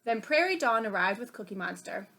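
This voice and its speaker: A woman reading a children's story aloud in an expressive, animated way, as a storybook narrator. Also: a little high, a little fast, creaky. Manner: expressive, acts out dialogue between plain narration. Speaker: storybook reader.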